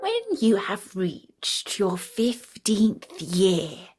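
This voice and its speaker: 女声演绎的缓慢低语的年迈人鱼祖母. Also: female voice, mid-range voice, huge pitch swings, a little mellow, faint music behind, heavily acted. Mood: gentle, solemn. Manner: slow, breathy whisper. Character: old mermaid grandmother, gently instructive.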